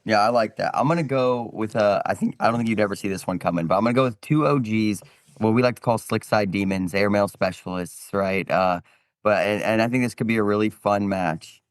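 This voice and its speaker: A man's voice: lowish voice, fairly quick, dry recording, studio quality. A man on a podcast panel talking sport casually, in an informal, slangy, conversational way. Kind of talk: casual sports chat about cornhole.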